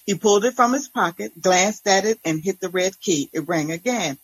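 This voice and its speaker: A woman narrator giving an expressive, deliberate first-person reading of a dramatic story. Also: mid-range voice, slightly rough, rough recording.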